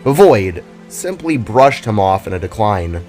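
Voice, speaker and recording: A man narrates a dramatic tale in a fairly low voice that moves up and down in animated swings. His delivery is theatrical, like a storybook being read aloud. Soft music plays underneath. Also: a little slow. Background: background music.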